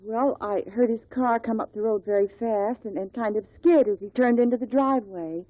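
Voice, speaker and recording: An actress playing an earnest, certain housewife giving polite testimony in a 1950s radio drama. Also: female voice, on the high side, normal speed, no echo, natural voice. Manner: polite, conversational, emphatic recollection. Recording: dry, thin, phone-like vintage radio recording.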